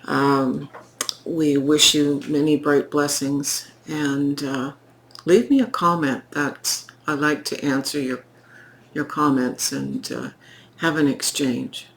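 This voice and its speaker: Slow, gravelly-voiced woman tarot reader. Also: sits low, fairly even intonation, slight background noise. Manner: slow, reflective, pausing, with fillers. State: croaky, recovering from illness. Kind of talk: unscripted spoken tarot reading.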